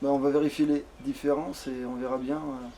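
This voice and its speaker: A man's voice: medium pitch, little pitch movement, fairly quick, dark tone, slight background noise, low-quality recording. A man playing a video game and chatting casually in informal, crude slang. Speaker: let's player. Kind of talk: casual chat while creating a game character.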